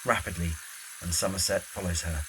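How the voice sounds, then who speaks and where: A man's voice: low voice, steady tone, brisk, background noise. A man reading scripted present-tense narration for an audio drama.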